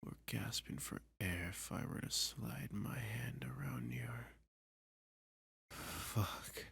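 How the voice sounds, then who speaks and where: A man playing a dominant, flirty villain who taunts a hostage in an intimate ASMR roleplay, often dropping to a breathy, teasing whisper. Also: mid-range voice, fairly bright tone, heavily acted. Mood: commanding, aroused. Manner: slow, teasing, sadistic, possessive.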